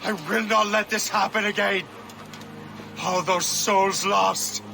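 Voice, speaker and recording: An actor playing a desperate fugitive assassin in a dramatic video game cutscene. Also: male voice, high-pitched, fairly even intonation, takes it slowly, vocal fry, noisy background, exaggerated voice. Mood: anguished defiance.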